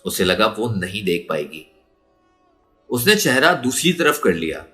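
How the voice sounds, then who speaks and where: A man's voice: fairly low pitch, big pitch swings, unhurried, pushed and bright, faint music behind. A man narrating a story in a dramatic, emotive and suspenseful way. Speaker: audiobook narrator.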